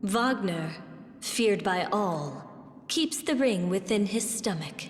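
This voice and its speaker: A woman's voice: medium pitch, lively intonation, slow pace, slight background noise. A woman playing a proud young witch in a fantasy game, speaking in a cold, formal, accusing way. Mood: cold defiance. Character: bitter, proud young witch, estranged princess's daughter. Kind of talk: dramatic fantasy game voice acting. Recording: music behind. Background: music.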